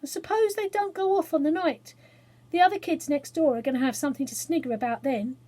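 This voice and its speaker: A woman's voice: sits high, brisk, dark tone, pitched above the performer's own voice, close to an everyday voice. A woman voicing a grumpy, bossy English boy from an Edwardian storybook, scornful and emphatic, with old-fashioned slang. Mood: doubtful, bossy.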